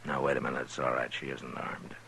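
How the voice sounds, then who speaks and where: Stern Old West lawman, very deep American voice, measured, understated, 1950s radio drama, lightly noisy old recording. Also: level tone, creaky, sharp tone, full character voice. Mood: wary, dry. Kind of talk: 1950s radio western acting.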